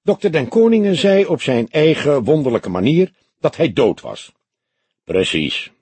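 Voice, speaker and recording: A man voicing a grizzled veteran police detective in an audiobook, with a husky voice and a dry, grumbling manner. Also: on the high side, very animated, unhurried, a little mellow, no room sound, pitched above the performer's own voice, full character voice. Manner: grumbling, laconic. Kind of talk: acted dialogue in an audiobook.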